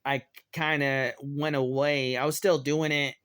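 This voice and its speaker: A man in his thirties with an American accent, giving a rambling personal update in a hesitant, halting way and often repeating himself. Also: on the high side, level tone, a bit bright, clear, resonant tone, no echo, studio quality. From Oregon, United States. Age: 30s. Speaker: online video creator.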